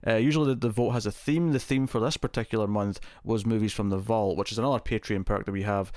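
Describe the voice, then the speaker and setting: A man's voice: lowish voice, steady tone, fast, darkish tone, no room sound. Man, podcast host, chatty, laughs a lot, dry close sound. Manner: chatty, laughs often, restarts words. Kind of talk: casual chat.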